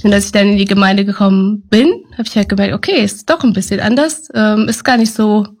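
A woman's voice: medium pitch, steady tone, brisk. Chatty woman with many fillers. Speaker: ministry team member. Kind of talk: podcast interview.

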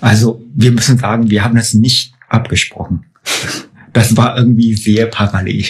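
Lower-voiced Chinese-accented man. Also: little pitch movement, normal speed. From China. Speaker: podcast guest. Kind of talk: interview answers.

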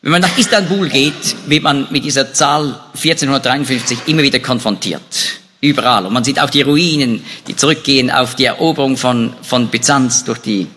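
A man's voice: medium pitch, a little fast, hardly any pauses, slight background noise. A man giving a spoken talk on history, with frequent hesitations and restarts. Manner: lively, animated delivery with hesitations. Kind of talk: lecture on European history. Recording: echoey hall reverb.

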